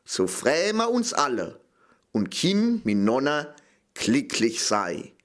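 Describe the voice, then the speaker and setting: A man with a Palatinate German accent reciting a dialect poem as a little grapevine, in deliberate sing-song short phrases. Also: medium pitch, wildly swooping pitch, fairly bright tone. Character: little grapevine, proud, affectionate, a bit fearful.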